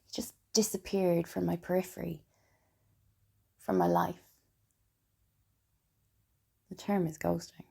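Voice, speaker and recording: Actress as lonely wry woman, theatrical monologue, husky and breathy, creaky, self-deprecating, confessional. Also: on the low side, sing-song, slow, pauses often, dark tone, full character voice. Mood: wry, melancholy. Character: lonely, wry, broken woman confiding in Death. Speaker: writer and performer of a one-woman play. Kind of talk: theatrical monologue, intimate letters read aloud to Death.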